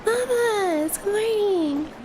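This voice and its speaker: A woman's voice: very high-pitched, expressive, takes it slowly, crowd noise. A woman streaming a game, talking casually to her viewers while stuffy from a cold. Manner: chatty, talks to viewers between game lines. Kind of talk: casual live-stream chat.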